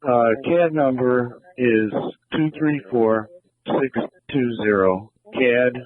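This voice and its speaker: A man working as a police communications operator, talking informally and somewhat haltingly over a radio. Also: medium pitch, no room sound. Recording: tinny, narrow police scanner feed.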